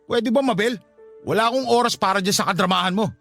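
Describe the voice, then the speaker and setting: A man's voice: high-pitched, quick pace, creaky, a bit bright. A man playing a callous, scornful middle-aged husband in a radio drama, dismissive and impatient, with a Filipino accent. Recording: faint music bed behind voice.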